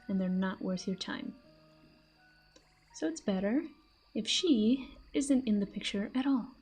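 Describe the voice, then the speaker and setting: A woman's voice: mid-range voice, a little mellow, breathy edge. A woman playing a possessive, flirtatious fantasy villain in a roleplay audio drama, teasing and smug, speaking intimately to the listener. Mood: possessive, menacing.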